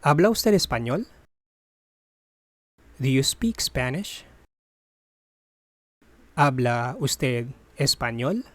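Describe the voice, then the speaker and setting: A voice reading a phrasebook drill at a very slow, careful pace. It says each phrase, translates it, then repeats it broken into parts. The recording is dry and close, with no room sound. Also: medium pitch, very animated, no room sound. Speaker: language teacher.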